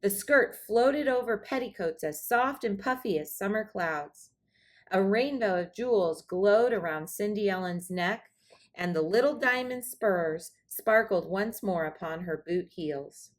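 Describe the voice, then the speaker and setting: Expressive woman reading a children's storybook. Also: medium pitch, unhurried. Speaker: storybook reader. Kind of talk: expressive children's read-aloud narration.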